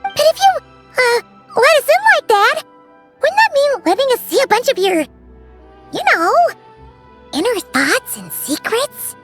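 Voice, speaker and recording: A woman voicing a tiny, childlike companion sprite in an anime game, squeaky, curious and hesitant. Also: sing-song, unhurried, long pauses, fairly bright tone, soft background music, full character voice. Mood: hesitant, concerned. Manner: hesitant, questioning. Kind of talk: scripted anime-style game acting.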